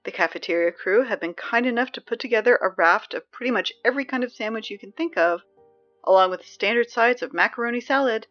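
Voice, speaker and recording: A woman playing Sammy, an excitable teen girl reading the school announcements in an audio drama, chatty with sarcastic asides. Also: fairly high pitch, quick pace, muffled recording, faint music behind, natural voice.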